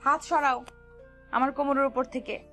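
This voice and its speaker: Woman acting a young sweetheart, high voice, pouty, scolding, coy, romantic audio drama, music behind. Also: fairly even intonation, normal speed, pushed and bright. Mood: annoyed, protesting. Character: young woman, flustered, scolding, smitten fiancée.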